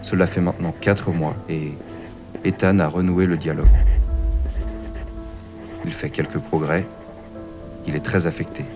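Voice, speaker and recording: Man acting deep-voiced, measured, concerned therapist. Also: fairly even intonation, over music. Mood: grave, worried. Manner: measured, reassuring, earnest. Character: calm, concerned male therapist treating a grieving patient. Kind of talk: dramatic audio thriller acting and narration.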